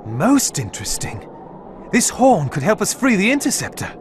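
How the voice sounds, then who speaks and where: Earnest, high-voiced young swashbuckler. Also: male voice, huge pitch swings, moderate pace, slight background noise. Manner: earnest, formal phrasing. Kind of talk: video game cutscene acting.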